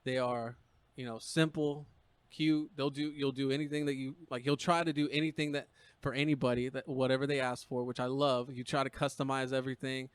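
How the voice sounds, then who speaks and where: A man's voice: mid-range voice, level tone, brisk, smooth, no echo. Guy hosting a podcast, easygoing, rambles a bit, mid voice, dry sound. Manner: easygoing, rambling, lots of fillers. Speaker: podcast host. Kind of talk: casual podcast interview.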